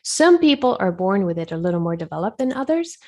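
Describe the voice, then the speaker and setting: A woman whose English carries a blend of Spanish and French accents. She speaks as a guest in a conversational interview at a medium pitch. Her manner is reflective and explanatory, and she pauses to find her words. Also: sing-song, a little mellow. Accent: foreign, Spanish and French influenced. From Colombia and Switzerland. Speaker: guest, wellness coach.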